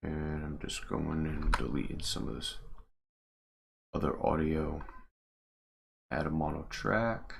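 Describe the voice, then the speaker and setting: A man's voice: extremely low pitch, flat delivery, slow pace, vocal fry, long pauses, slightly rough. A man giving casual livestream commentary, muttering to himself as he works on game mods. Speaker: streamer, game mod maker. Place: indoors at a computer.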